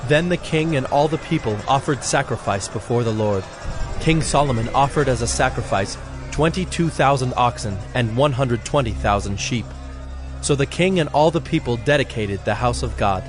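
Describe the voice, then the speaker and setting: A man reading scripted Bible narration in a measured, solemn, clearly articulated way. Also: medium pitch. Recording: background music under the voice.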